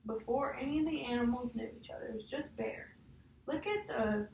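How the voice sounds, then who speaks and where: A woman's voice: fairly high pitch, a little fast, lo-fi sound. A woman teacher talking through a picture book with a child, guiding and often checking for agreement. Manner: guiding, often ends statements with a checking "huh?". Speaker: teacher leading a read-aloud. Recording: roomy, distant mic, faint noise.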